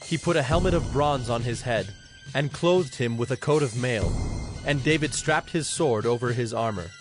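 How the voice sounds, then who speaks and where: A man narrating scripture from a script in a measured, formal manner. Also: mid-range voice, music in the background.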